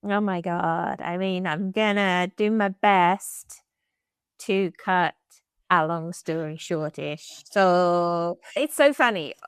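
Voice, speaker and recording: A woman with an Irish accent, a podcast guest chatting casually in a bubbly, expressive way and laughing easily. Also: lowish voice, slow pace, smooth, dry recording, clean studio sound. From Ireland. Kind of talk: casual interview chat.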